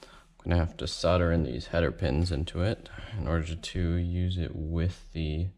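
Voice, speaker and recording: A man's voice: deep voice, fairly even intonation, normal speed, darkish tone. A young man giving casual tutorial narration for a homemade electronics project. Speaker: hobbyist project vlogger.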